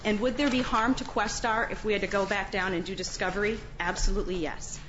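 A woman speaking as a lawyer, giving a formal, firm and measured argument to an appeals court. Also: medium pitch, steady tone, fairly bright tone. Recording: muffled courtroom recording, faint background noise.

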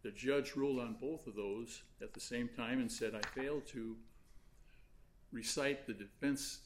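A soft-spoken man arguing an appeal before judges in a large courtroom, halting and full of fillers as he speaks into a fixed recording mic. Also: mid-range voice, noisy background. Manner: halting, with many fillers and restarts. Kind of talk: appellate oral argument.

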